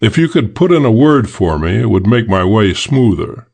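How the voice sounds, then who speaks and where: Man as a low-voiced, businesslike private detective. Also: very animated, gravelly, a little mellow, slightly rough, full character voice. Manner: businesslike, measured. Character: matter-of-fact, careful male private detective. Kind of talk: audiobook character acting.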